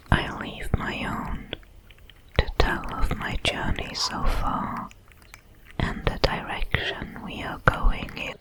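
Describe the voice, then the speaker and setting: A woman voicing a calm, watchful alien predator in a breathy whisper, with formal, measured diction for an ASMR audio roleplay. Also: lightly acted, rough recording. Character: many-legged alien predator, calm, watchful, protective, coldly curious.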